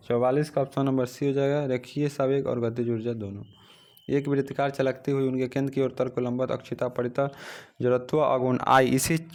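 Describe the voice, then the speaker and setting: A man teaching an exam practice lesson, reading out questions and then giving the answers in a steady drilling rhythm, recorded on a phone. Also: mid-range voice.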